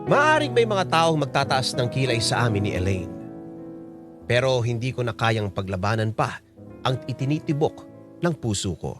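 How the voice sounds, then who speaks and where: A man's voice: mid-range voice, big pitch swings, normal speed, natural voice. A man acting an older lover who confesses a forbidden love in an earnest, reflective Filipino-accented radio drama narration. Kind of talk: first-person letter narration in radio drama. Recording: loud piano music under voice. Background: loud piano music.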